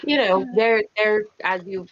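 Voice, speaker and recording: A woman's voice: medium pitch, measured pace, fairly bright tone. A woman playing a tabletop game, speaking earnestly and pleadingly in character, with fillers. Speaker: player. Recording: dull, slightly lo-fi online audio.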